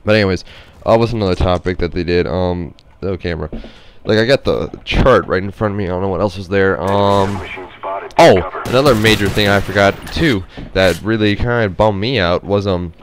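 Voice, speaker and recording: A man's voice: low-pitched, moderate pace, a little hiss or hum. A man giving casual, rambling, unscripted game commentary, hesitant and nasal from a stuffy head cold. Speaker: gameplay commentator.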